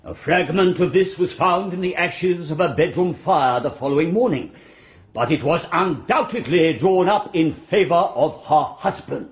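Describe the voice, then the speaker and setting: An actor playing a formal, long-winded prosecuting barrister in a radio drama, oratorical and pedantic in manner. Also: male voice, on the high side, lightly acted. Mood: grave, accusing. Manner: oratorical, measured, pedantic exposition. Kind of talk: radio courtroom drama acting. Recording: dull, roomy radio drama recording.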